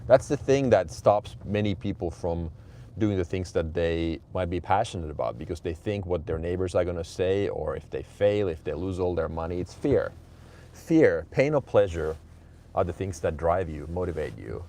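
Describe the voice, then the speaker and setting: Deep-voiced Finnish man talking while driving. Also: animated, moderate pace, warm tone. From Finland. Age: 40s. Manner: candid motivational talk with loose restarts. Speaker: livestream host. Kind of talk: casual live-stream chat, reading chat aloud. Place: car, driving to his office. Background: vehicle noise.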